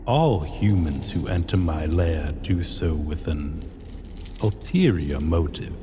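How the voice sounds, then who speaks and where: Man voicing an arrogant, very slow, cavernous dragon king. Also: sits low. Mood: haughty, intrigued. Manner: pompous, deliberate, archaic formal diction. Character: arrogant, possessive male dragon king, ancient hoard-keeper. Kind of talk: scripted fantasy ASMR roleplay. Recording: long cavernous reverb, faint noise.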